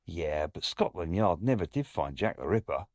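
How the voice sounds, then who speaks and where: A man's voice: low voice, very animated, moderate pace, no room sound, studio quality. An actor playing a matter-of-fact male police inspector, grimly reporting on cases in an audio play. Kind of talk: audio drama acting.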